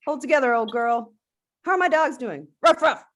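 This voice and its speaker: A woman playing a bossy, excitable eight-year-old adventurer girl in a table read of an animated film, with a brash, commanding delivery. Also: high voice, normal speed. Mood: commanding, playful.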